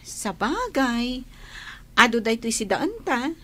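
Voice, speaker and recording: High-voiced young Ilocano village woman. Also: sing-song, unhurried, sharp tone, exaggerated voice. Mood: friendly, shy. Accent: Ilocano Filipino. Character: caring, candid young village woman, a close friend. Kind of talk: Ilocano radio drama acting.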